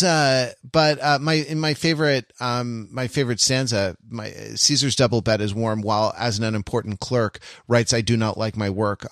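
A man co-hosting a podcast, discussing literature casually in a halting way, often repeating and interrupting himself. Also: medium pitch, dry recording, clean studio sound. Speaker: podcast co-host.

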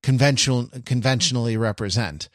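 A man co-hosting a casual podcast, talking in a halting way with repeated false starts as he works out his thoughts. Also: mid-range voice, dry recording, clean studio sound. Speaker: podcast co-host. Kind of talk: casual conversational podcast chat.

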